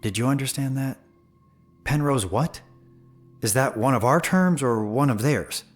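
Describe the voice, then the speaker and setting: A man's voice: medium pitch, animated, measured pace, soft background music, close to an everyday voice. An actor playing a curious, practical, plain-spoken man who keeps questioning in a sci-fi audio drama.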